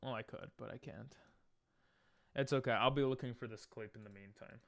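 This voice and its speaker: A man's voice: mid-range voice, moderate pace, creaky, a bit bright, dry recording. A man whose first language is Hebrew, chatting casually on a game stream, reading chat aloud and breaking into silly freestyle rhymes. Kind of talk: casual live-stream chat while gaming.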